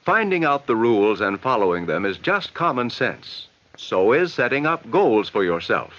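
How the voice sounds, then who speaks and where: An American man reading scripted, instructive narration in a measured, advising way for a 1960s educational film. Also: medium pitch, sing-song, a bit bright. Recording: dull vintage 1960s film soundtrack.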